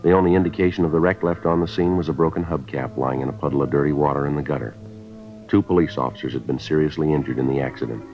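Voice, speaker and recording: An American actor playing a terse, deadpan police detective who narrates his case in a 1950s radio drama style. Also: rumbling low, fast. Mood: flat, matter-of-fact. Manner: deadpan, matter-of-fact. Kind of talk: 1950s radio acting, clipped scripted narration. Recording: dull vintage radio recording with music.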